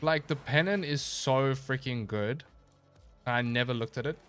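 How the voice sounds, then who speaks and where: A man's voice: medium pitch, unhurried, fairly bright tone, faint music behind. Laid-back rambling male streamer, slightly stuffy. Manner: laid-back, rambling, reads chat aloud and answers. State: a little stuffy, slightly nasal. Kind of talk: casual live-stream chat while gaming.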